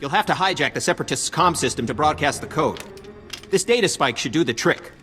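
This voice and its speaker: A nervy ensign in a video game. His high voice moves lively and urgently, with long reverb and music behind. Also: a little fast. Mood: tense. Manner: urgent, reporting. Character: anxious young military ensign. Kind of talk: video game cutscene acting.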